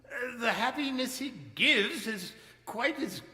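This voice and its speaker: A man plays an old man deeply stirred by his past in a live radio play. The voice is forceful and slow, swinging widely with halting exclamations. It rings through a reverberant hall. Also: lively intonation, full character voice. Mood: earnest. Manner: halting, exclamatory, drawn-out delivery. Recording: echoey hall, long reverb, live stage.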